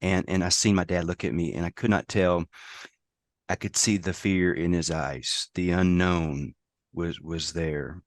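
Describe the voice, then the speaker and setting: Guest spot: American man, very low voice, hoarse, dry close sound. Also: steady tone, dry recording. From United States. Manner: halting, many restarts and fillers. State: hoarse from a lost voice. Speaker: guest, safety professional. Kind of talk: casual interview conversation.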